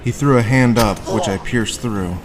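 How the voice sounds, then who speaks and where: An actor playing a grim, haunted war veteran, telling his story deliberately in a noir audio drama. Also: lowish voice, over music, natural voice, rough recording. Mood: grim, tense. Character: haunted hard-boiled male war veteran recounting his grim past.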